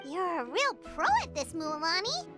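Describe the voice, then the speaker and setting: A woman voicing a tiny, excitable, childlike fairy companion in a video game, with a squeaky voice. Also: moderate pace, loud music behind the voice. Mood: amazed. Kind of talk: animated video game dialogue.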